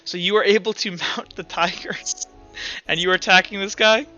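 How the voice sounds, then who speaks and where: Man, game master, high bright voice, casual tabletop narration, music behind. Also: steady tone, normal speed. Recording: dull lo-fi sound, music behind.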